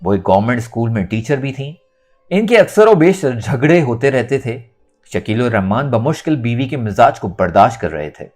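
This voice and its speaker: Male narrator for serialized fiction, mid-pitched and highly animated, with music underneath. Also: normal speed. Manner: expressive storytelling. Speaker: audiobook narrator. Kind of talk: dramatic scripted novel narration.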